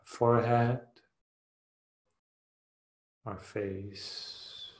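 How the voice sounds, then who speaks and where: Very slow-speaking man guiding a meditation. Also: on the low side, level tone, roomy sound. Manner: soothing, unhurried, long pauses between short phrases. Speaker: meditation teacher. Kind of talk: guided meditation.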